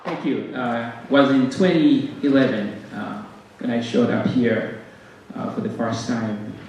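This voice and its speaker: A man with a Ugandan accent giving a halting welcome speech as director of a music ensemble, with frequent pauses and repeated words. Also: medium pitch, steady tone, dull audio. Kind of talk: welcome speech to a concert audience. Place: concert stage in a hall. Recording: very echoey hall, distant mic, faint noise.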